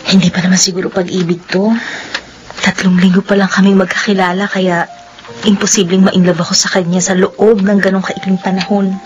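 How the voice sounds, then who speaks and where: A woman's voice: mid-range voice, little pitch movement, fairly quick, muffled recording, close to an everyday voice. A woman acting an earnest, polite and plaintive young daughter in a melodramatic radio drama, with music underneath. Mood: wistful.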